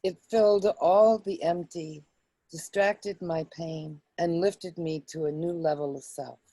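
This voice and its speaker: Slow, mellow-voiced woman, reflective. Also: fairly low pitch, fairly even intonation, no room sound, poor audio. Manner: hesitant, reflective, halting. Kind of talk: poem reading and casual personal recollection.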